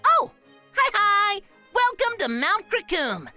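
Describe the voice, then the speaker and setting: A woman voicing a bubbly, excitable, friendly little kobold in an audio drama. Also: very high-pitched, takes it slowly, soft background music, exaggerated voice. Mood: excited.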